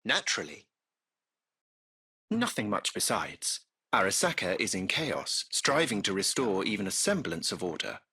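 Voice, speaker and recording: A man voicing a servile, impeccably polite artificial intelligence taxi driver in a video game, with formal, ornate, measured diction. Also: fairly low pitch, sing-song, creaky, sharp tone, slightly rough, exaggerated voice. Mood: politely solicitous. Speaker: AI driver and concierge.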